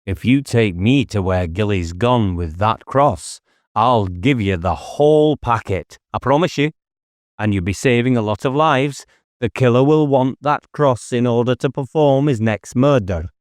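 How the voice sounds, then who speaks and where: A man's voice: mid-range voice, a little slow, no room sound, clean studio sound, voice pushed up, close to an everyday voice. A man voicing an intense, driven police officer in an audiobook, with an urgent, insistent manner. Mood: earnest, persuasive.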